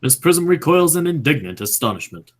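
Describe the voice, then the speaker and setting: A man's voice: a little high, big pitch swings, a little fast. A man narrating stage directions for an amateur radio play in a scripted reading style. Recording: clearly noisy amateur recording.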